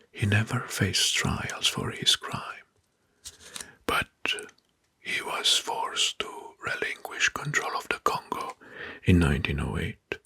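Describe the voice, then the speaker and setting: A man whispering an ASMR history narration in a breathy, mostly unvoiced voice, pausing between short phrases and often repeating words. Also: on the low side, sharp tone, dry recording. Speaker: ASMR host presenting history facts. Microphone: close microphone.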